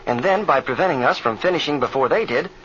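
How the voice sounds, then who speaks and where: A man's voice: fairly high pitch, lively intonation, fast talker, a bit bright, breathy edge. A man playing an earnest, eager young pilot in an old radio drama, on a muffled, hissy recording. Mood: earnest concern.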